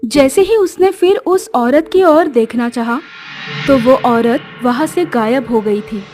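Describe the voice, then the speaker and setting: A woman's voice: high voice, a little slow. A woman narrating a scripted horror story as a storyteller. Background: music.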